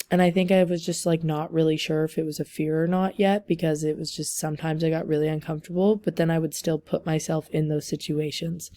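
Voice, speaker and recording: Hoarse young woman giving a halting class talk. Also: sits low, warm tone, dry recording. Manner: halting, many ums and likes. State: losing her voice. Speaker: student presenter. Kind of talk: class presentation over slides.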